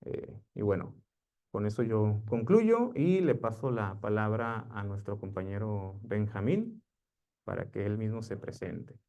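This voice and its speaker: Mellow-voiced male panel moderator. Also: lowish voice, animated. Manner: measured, ends points with "¿no?". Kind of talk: academic panel talk. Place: lecture room at a university event.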